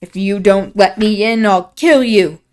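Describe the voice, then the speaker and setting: A woman's voice: mid-range voice, a little slow, a little mellow, natural voice. A woman voicing a frail, sickly young man in a powerless fury, shrieking his threats. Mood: frantic.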